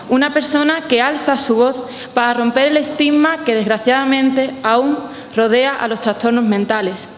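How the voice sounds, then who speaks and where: A woman introducing the guest speaker on behalf of the association, reading in a measured way. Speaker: association presenter. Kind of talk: read introduction. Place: conference hall. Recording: echoey hall with faint rumble.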